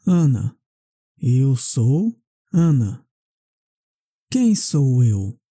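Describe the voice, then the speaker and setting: A woman with a low, extremely dark and mellow voice leads a language drill. She speaks extremely slowly, separating each short question and answer with care. The sound is dry and close, with little room around it. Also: very animated, breathy edge, no room sound. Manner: deliberate, carefully separated phrases. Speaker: language teacher.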